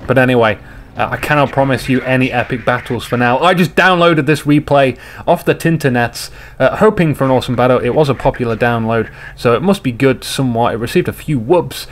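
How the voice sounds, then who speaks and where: A man casually commentating on a game replay, slightly hoarse, rambling and joking with his viewers. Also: mid-range voice, fairly quick, a little hiss or hum. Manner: rambling, jokey, chats to viewers.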